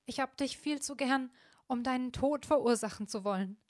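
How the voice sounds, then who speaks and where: A woman's voice: high-pitched, normal speed, darkish tone, no room sound, studio quality, lightly acted. A woman voicing a kind young woman in a storybook reading, earnest and heartfelt. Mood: pleading.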